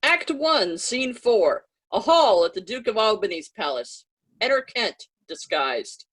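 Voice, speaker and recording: A woman's voice: medium pitch, very animated, measured pace, fairly bright tone, husky, rough recording. A woman reading out scripted stage directions for a readers' theatre play.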